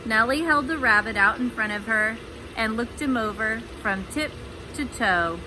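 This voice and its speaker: A woman's voice: on the high side, moderate pace, background noise. A woman reading a storybook aloud for children, with chatty asides to the listeners. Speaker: storybook reader.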